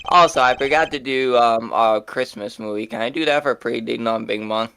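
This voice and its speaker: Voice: mid-range voice, a little fast, gravelly, a bit bright, smooth. A game streamer chatting casually and jokingly, with informal, sweary speech.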